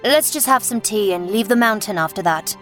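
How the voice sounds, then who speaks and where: A man putting on a shy, hurried young woman. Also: sits high, lively intonation, a bit bright, soft background music, voice pushed up. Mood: anxious. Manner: hurried, pleading.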